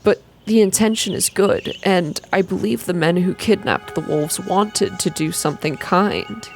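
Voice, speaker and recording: A woman voicing a shy, wistful man telling stories in a quiet, hesitant, calming manner, in an ASMR game reading. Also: medium pitch, unhurried, gravelly, soft background music.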